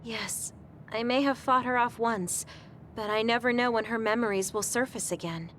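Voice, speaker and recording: A woman playing a sweet, guileless young woman in a video game, speaking gently and politely. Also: on the high side, normal speed, a little hiss or hum, lightly acted. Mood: worried, sincere. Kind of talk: English video game voice acting. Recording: faint music behind the voice.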